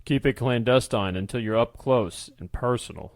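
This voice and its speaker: A man's voice: mid-range voice, normal speed, no echo, clean studio sound, natural voice. A man doing a stern blacksmith father. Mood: commanding. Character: stern middle-aged male blacksmith father.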